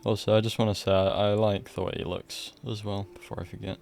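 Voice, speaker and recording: Guy streamer reacting to a game, lowish voice, chatty n sweary, faint music. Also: little pitch movement, fast, vocal fry, darkish tone. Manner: colloquial, sweary. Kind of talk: casual reaction commentary.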